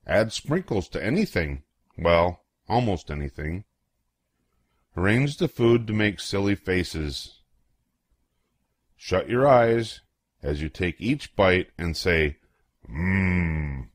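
An older man reading a children's picture book aloud in a playful, expressive way, acting out exclamations and questions. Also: sits low, slow, pauses often, no echo, clean studio sound.

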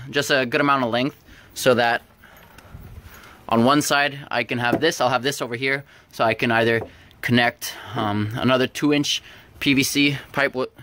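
A man's voice: mid-range voice, fairly bright tone. A man giving a casual hands-on tutorial in an informal, plain-spoken way, explaining step by step. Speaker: presenter of DIY how-to videos. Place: around a backyard tub and a workshop. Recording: handheld camera with background noise.

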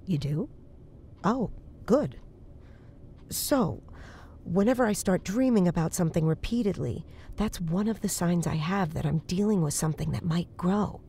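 A woman's voice: on the low side, expressive, dark tone, a little hiss or hum. An actress playing an earnest, uneasy woman psychic in a horror audio drama, speaking in a hesitant, naturalistic way. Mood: earnest, concerned. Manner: hesitant, halting. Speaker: voice actor.

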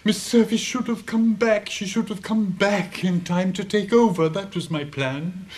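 Despairing old headmaster, lightly pitched. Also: male voice, a little slow, a little mellow. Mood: dejected. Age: older. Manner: earnest, mournful, oratorical. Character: despairing, idealistic old headmaster near retirement. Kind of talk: British radio drama acting.

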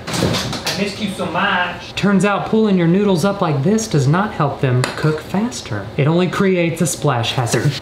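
A young man vlogs with dry, deadpan humor, his pitch jumping up and down as he jokes. He sounds like he is in an echoey kitchen, picked up by a camera with clear background noise. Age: 20s. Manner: deadpan, joking asides. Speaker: vlogger. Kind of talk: comedic cooking vlog chat. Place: indoors, small apartment kitchen. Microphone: camera mic at a short distance.

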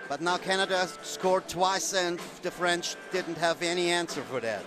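A high-voiced man with a German accent does live play-by-play, rising to shouts on the scores. Stadium music plays loudly behind him in an open-air setting. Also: normal speed. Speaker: sports commentator.

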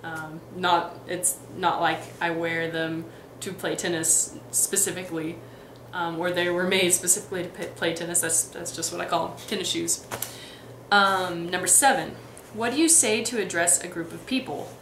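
A woman's voice: lowish voice, fairly even intonation, moderate pace, echoey room, background noise. A young woman from Texas and Missouri with a light Midwestern accent, chatting casually to a webcam in her bedroom, full of ums and restarts. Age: young adult. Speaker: vlogger. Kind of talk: casual accent tag vlog. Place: bedroom, indoors.

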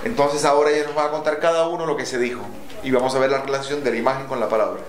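A middle-aged Ecuadorian man with an Ecuadorian accent, leading an art workshop and explaining a drawing exercise in a thoughtful, halting way. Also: medium pitch, steady tone. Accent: Ecuadorian Spanish. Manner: thoughtful, halting, with many fillers. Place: large echoey dome indoors with people around. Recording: echoey room, distant mic, noisy.

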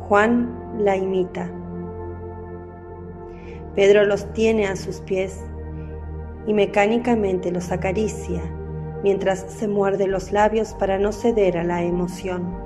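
A woman giving scripted narration for a radio drama in a solemn, measured manner. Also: mid-range voice, steady tone, music in the background.

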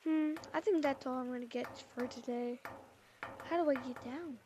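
A woman's voice: sits high, normal speed, a little mellow, slight background noise. A woman playing a VR game, chatting playfully to herself with sighs as she roleplays a shopping trip. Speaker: VR game player. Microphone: VR headset mic.

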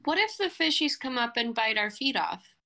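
A curious seven-year-old girl, acted by a woman in a dramatic reading. The voice sits fairly high and is very bright and forward, with a childlike innocence. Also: sing-song, moderate pace, heavily acted. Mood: playful, curious.

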